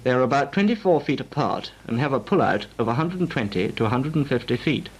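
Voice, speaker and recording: An actor playing a formal, precise British naval flying instructor lecturing trainees in a 1940s instructional film. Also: male voice, mid-range voice, animated, fast talker, breathy edge. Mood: formal, instructive. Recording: noisy, crackly 1940s film soundtrack.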